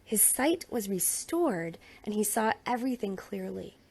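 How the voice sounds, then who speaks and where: A woman reading a Bible narrative aloud in an expressive storytelling way. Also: mid-range voice, unhurried, gravelly, husky. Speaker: scripture reader.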